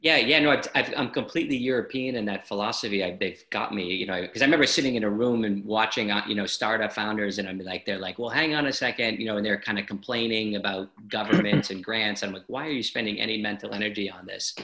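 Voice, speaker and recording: A man hosts a casual chat, his fairly low voice bright and forceful. He rambles through ideas, restarting sentences. The sound is roomy and echoey. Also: quick pace, few pauses. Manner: energetic, rambling, many restarts. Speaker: podcast host. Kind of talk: casual interview conversation. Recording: roomy echo.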